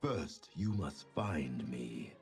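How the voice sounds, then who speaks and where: An actor playing a coldly arrogant, menacing male ninja master in a fantasy game, with a husky, ominous, boastful delivery. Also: extremely low pitch, very animated, drawn-out words, soft background music, exaggerated voice. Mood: cold menace.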